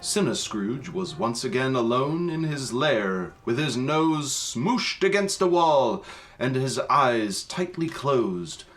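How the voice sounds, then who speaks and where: A man's voice: medium pitch, very animated, takes it slowly, a bit bright. A man playing the solemn narrator of a spooky Christmas radio play, reading scripted dramatic narration. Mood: solemn storytelling.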